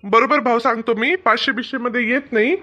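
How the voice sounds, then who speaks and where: A man's voice: extremely high pitch, a little fast, bright, forceful tone, full character voice. A man voicing a persistent, wheedling young bag seller in a comedy cartoon, persuasive and protesting, on a lo-fi recording. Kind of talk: Marathi comedy cartoon dub.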